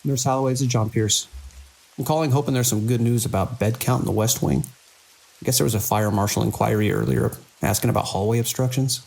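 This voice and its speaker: A man playing a weary hospital director and surgeon in an audio drama, speaking in a measured, formal, wry way. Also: mid-range voice, expressive, creaky, darkish tone, slight background noise, low-quality recording. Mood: businesslike, strained. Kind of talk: scripted audio drama narration.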